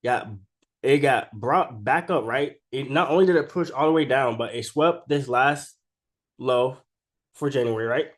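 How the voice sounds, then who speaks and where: A man with an average-pitched voice, sick with a cold, talks through market charts in a casual, unscripted way. He is informal and slangy, often tagging his points with a quick check-in question, like a creator explaining his screen to followers. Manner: informal and slangy, keeps tagging statements with "right?". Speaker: stock trading video creator.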